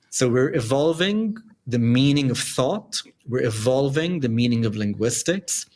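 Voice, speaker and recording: A middle-aged man from Dubai with a light Dubai accent, answering interview questions in measured, explanatory short phrases. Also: mid-range voice. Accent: light Dubai English. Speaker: podcast guest, company founder.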